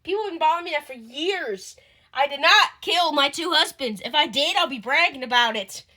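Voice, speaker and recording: A woman's voice: high voice, animated, fairly quick, bright, forceful tone. A woman voicing an indignant elderly woman who insists she is innocent. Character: elderly woman, indignant, denies murdering husbands.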